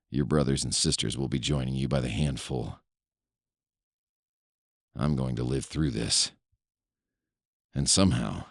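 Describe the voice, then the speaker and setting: A man playing an embittered, obsessed and trapped kidnapper in a horror audio story, with a brooding, menacing and deliberate delivery. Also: a bass voice, creaky, no room sound, clean studio sound, pitched below the performer's own voice, heavily acted. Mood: grim desperation. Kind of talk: first-person horror audio story monologue.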